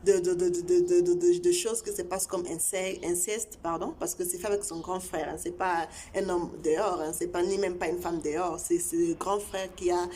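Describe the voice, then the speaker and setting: Woman, of congo, tshiluba speaker, lowish voice, halting casual talk, faint background hiss. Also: steady tone, dark tone. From Congo (DRC). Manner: halting, many repeated words and fillers. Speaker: channel host. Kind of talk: casual chat to viewers.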